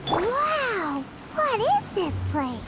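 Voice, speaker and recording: A woman's voice: very high-pitched, very animated, very slow, very noisy, full character voice. A woman voicing a tiny, chirpy floating female companion sprite in a video game, wide-eyed and childish, referring to herself in the third person. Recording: game audio with loud background music.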